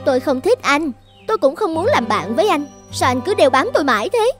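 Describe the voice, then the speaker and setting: A woman voicing a haughty, scornful young village chief's daughter in an animated fairy tale, with a disdainful, cutting delivery. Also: high voice, brisk. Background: light background music.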